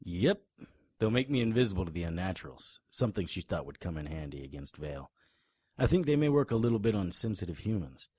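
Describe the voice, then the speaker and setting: An actor playing a tough, wisecracking monster hunter in an audio drama, grim and cocky, with a husky voice. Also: male voice, deep voice, big pitch swings, fairly quick, a little mellow, dry recording, full character voice. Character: hard-boiled male monster hunter, grim, cocky, threatening. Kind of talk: audio drama acting with noir first-person narration.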